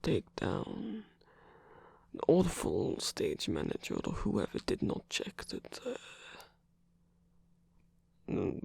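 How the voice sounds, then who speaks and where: A man playing a flirtatious, theatrical rock singer in an intimate ASMR romance roleplay, teasing and murmuring with breathy, husky, hesitant speech. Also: mid-range voice, wildly swooping pitch, takes it slowly, long pauses, dark tone, dry recording, exaggerated voice. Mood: amused, touched. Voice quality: breathy, husky, often whispered. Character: flirtatious theatrical male rock singer, tired, playfully indulgent lover.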